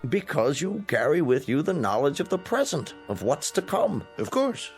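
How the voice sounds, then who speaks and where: Persuasive, animated club gentleman. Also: on the high side, normal speed, soft background music. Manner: persuasive, assured. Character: confident, argumentative gentleman club member, fond of speculation. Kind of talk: radio drama acting.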